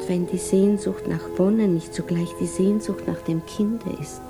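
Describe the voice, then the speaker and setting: A sad lonely woman in a 50s radio play, solemn and hushed, music behind her. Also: fairly low pitch, steady tone, a little slow, rough recording. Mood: solemn, reflective. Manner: solemn, hushed, reflective, often sinking toward a whisper. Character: grieving, lonely woman recounting her sorrow with quiet resignation. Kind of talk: 1950s literary radio drama monologue.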